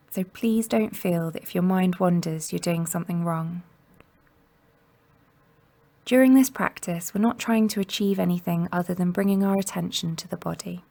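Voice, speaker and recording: A woman guiding a meditation in a gentle, soothing and unhurried manner, leaving long pauses between instructions. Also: on the low side, creaky. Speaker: mindfulness meditation guide. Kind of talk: guided body scan meditation narration.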